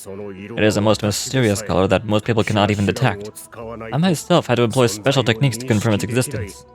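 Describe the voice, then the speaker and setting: A man's voice: fairly low pitch, fairly even intonation, lightly acted. Formal scholarly man acted out by a male reader. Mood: measured explaining. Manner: formal, measured, expository. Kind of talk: reading game quest dialogue aloud. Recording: music playing behind the voice.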